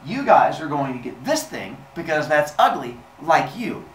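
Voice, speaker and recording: Man acting out loud, pompous, bossy sky god. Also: a little high, sing-song, moderate pace, pitched above the performer's own voice. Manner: loud, blustering, dismissive. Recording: echoey room with background hiss.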